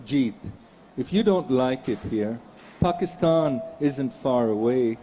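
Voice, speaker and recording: A man makes dry, wry remarks and then recites his ghazals in a deliberate, sardonic manner at a literary festival. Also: medium pitch, expressive, dark tone, a little hiss or hum. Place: festival stage, audience present.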